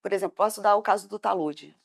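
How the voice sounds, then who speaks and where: A woman's voice: medium pitch, fast, vocal fry. A woman with a Brazilian Portuguese accent, speaking as a debate panelist in an impassioned, hesitant way.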